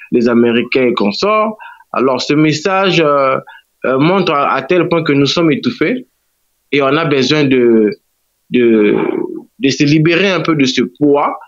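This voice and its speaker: A Congolese man reporting in a radio interview, hesitant, with many fillers and restarts. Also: mid-range voice. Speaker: guest correspondent. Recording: dull lo-fi line.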